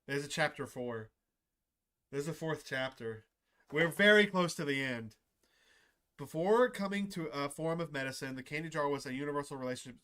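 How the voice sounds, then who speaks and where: A man livestreaming a visual novel, switching between excitable, repetitive casual chat and reading the story text aloud. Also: mid-range voice. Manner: excitable, repeats himself. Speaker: streamer playing a visual novel.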